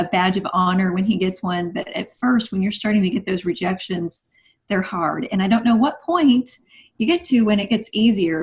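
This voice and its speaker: A woman with a mid-pitched voice talks as a guest on a writing podcast. She sounds earnest and explanatory, in a conversational discussion style.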